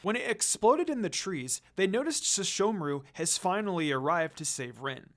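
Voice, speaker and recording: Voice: medium pitch, lively intonation, normal speed. A fan fiction reader narrating a story in a dramatic reading style.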